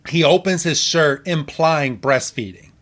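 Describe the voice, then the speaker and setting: Higher-pitched man recapping a show. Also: unhurried, a bit bright. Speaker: podcast co-host. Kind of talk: casual recap of a cartoon episode.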